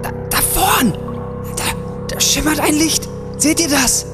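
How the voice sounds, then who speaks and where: A woman playing an anxious, cautious young student in an audio adventure drama, hesitant and expressive. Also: high voice, measured pace, over loud music. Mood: worried.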